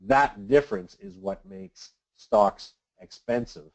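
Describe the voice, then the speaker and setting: A man presenting a semi-scripted financial briefing as an analyst, explaining things in a measured, matter-of-fact way. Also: medium pitch, sing-song, creaky, a little mellow, dull audio. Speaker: financial analyst hosting a weekly market briefing. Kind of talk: semi-scripted market commentary.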